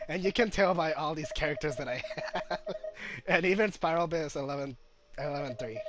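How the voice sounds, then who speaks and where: A man hosting a gaming video with casual, informal commentary, repeating points for emphasis. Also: on the high side.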